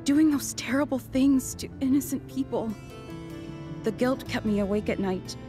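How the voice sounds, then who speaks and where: An actress playing a guilt-ridden, guarded adult researcher in an anime game, sincere and hesitant. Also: female voice, sits high, moderate pace, over music, lightly acted. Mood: remorseful.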